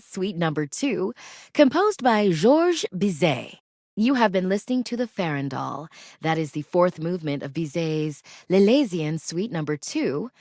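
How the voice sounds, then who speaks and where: Genteel female classical DJ, video game radio, measured formal delivery, lively intonation, dry close studio sound. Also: fairly low pitch, no echo. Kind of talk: scripted public radio announcing.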